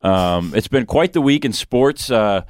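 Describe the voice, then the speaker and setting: A man's voice: mid-range voice, lively intonation, unhurried, no echo, studio quality. A young man hosting a sports radio show in a casual, conversational style. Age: young adult. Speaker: sports talk show host.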